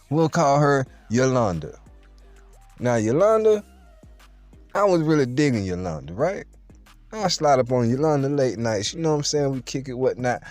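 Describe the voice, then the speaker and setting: A young man telling a true story straight to the camera in a casual, slangy, animated way. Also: fairly high pitch, no room sound.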